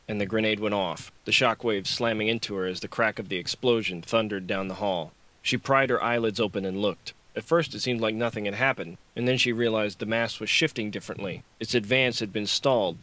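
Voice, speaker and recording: A man's voice: fairly low pitch, fairly quick, fairly bright tone. A man reading dramatic, scripted action fiction as an audiobook narrator.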